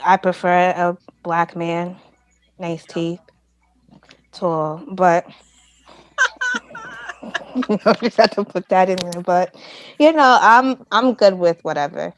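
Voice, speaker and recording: A woman in her twenties, a guest in a casual group chat, relaxed and easygoing. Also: on the low side, slow pace, long pauses, a little hiss or hum, low-quality recording. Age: 20s.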